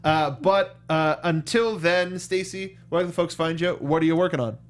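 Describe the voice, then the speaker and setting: Man, slightly high-pitched voice, review show host, casual chat, keen and informal delivery. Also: moderate pace. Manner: enthusiastic, informal.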